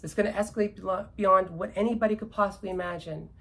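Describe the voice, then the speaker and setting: A woman's voice: mid-range voice, steady tone, quick pace, a bit bright, husky. A Canadian woman with an accent she credits to British loyalist ancestry, talking politics in an emphatic, repetitive, ranting way. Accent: British-descended Canadian. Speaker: commentator. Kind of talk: casual political chat.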